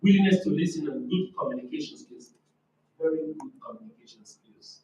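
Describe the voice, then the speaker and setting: A man with a loud, forceful voice giving an emphatic, didactic motivational talk at a conference, repeating key phrases and asking rhetorical questions. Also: fairly high pitch, brisk, muffled recording. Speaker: conference speaker on leadership. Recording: echoey room, distant microphone.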